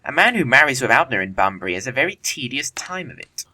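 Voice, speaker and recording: A man playing a witty, flippant young gentleman bachelor in an audio comedy of manners, glib and theatrical in formal speech. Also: mid-range voice, big pitch swings, quick pace, a little hiss or hum, heavily acted, rough recording.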